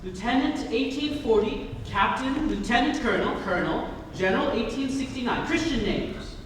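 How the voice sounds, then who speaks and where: An earnest, excitable young Victorian gentleman acted out by a man speaks theatrically with wide swings of pitch. The stage comedy reading rings around a reverberant hall, with clear background noise. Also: normal speed. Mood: urgent. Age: young adult. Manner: theatrical, exclamatory. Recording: echoey hall from far off, noisy.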